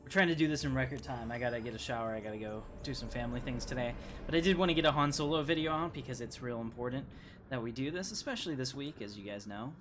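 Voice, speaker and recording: A man's voice: medium pitch, fast talker, fairly bright tone, slight background noise. A man giving casual, chatty gameplay commentary as a streamer. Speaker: game streamer.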